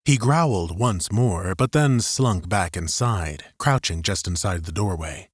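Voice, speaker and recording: Deep-voiced male audiobook narrator. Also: animated, unhurried, husky, no echo, clean studio sound. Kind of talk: scripted dramatic fiction narration.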